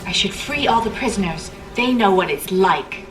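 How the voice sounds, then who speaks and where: A woman's voice: medium pitch, lively intonation, normal speed, distant mic, lightly acted, poor audio. Actress as a brooding young psychic woman, music behind. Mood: cool, resentful. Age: young adult. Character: angry young psychic woman escaping captivity. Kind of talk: scripted video game voice acting. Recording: game audio under prominent music.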